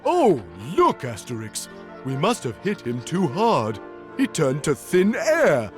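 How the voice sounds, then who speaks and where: Childlike strongman, naive, guileless, extremely animated pitch, cartoon game, music behind. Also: slow, full character voice. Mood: innocent wonder. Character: big, childlike, simple-hearted male Gaulish strongman.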